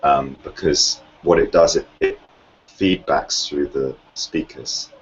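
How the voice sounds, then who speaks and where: Deep slow guy hosting a video meeting, patient, dull lo-fi sound, bit of hiss. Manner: patient, instructive. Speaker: meeting host. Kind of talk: casual video call chat. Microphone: video call.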